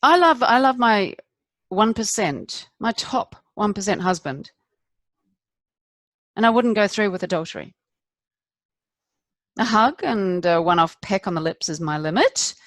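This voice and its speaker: A woman performing a confessional stage monologue as a candid, rueful middle-aged wife, wry and self-deprecating, with deliberate emphatic pauses. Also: medium pitch, lively intonation, a bit bright, no echo, studio quality. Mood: confiding, defensive.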